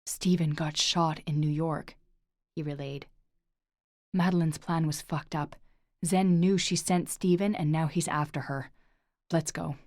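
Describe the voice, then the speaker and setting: A woman's voice: low-pitched, steady tone, a little slow, a little mellow, no echo, studio quality. A woman voicing an intense, protective young man who speaks emphatically. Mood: urgent. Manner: pleading, emphatic.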